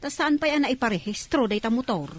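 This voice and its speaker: A woman's voice: fairly high pitch, lively intonation, quick pace. A woman playing a practical, mildly irritated village wife with an Ilocano accent in a comedy radio drama. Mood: cautioning.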